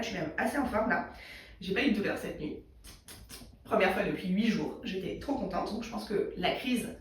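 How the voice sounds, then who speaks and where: A woman hosting a knitting video in a casual, rambling chat, with a slightly congested throat and lots of fillers. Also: mid-range voice. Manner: rambling, many fillers and restarts. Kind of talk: casual chat thinking aloud. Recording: echoey room, mic far away.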